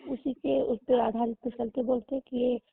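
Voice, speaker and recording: A woman's voice: a little high, little pitch movement, moderate pace, creaky, breathy edge, no room sound. A young woman confiding plaintively in a casual, intimate phone conversation. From rural Uttar Pradesh, India. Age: young adult. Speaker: girlfriend on a personal phone call. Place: phone call recording. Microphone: telephone. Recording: narrow tinny phone line, dry.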